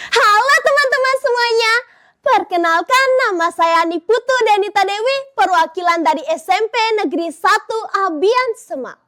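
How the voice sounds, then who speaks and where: High-voiced teen girl storyteller. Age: teenager. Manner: expressive, slow and dramatic. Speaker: student storyteller. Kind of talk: contest storytelling, addressing judges and audience.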